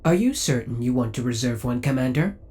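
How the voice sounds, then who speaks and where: A man's voice: mid-range voice, expressive, a little fast, darkish tone, close to an everyday voice. A man playing a possessive, coolly obsessive male spaceship AI in an ASMR audio roleplay, speaking with formal, precise, robotic politeness. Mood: formal, disapproving.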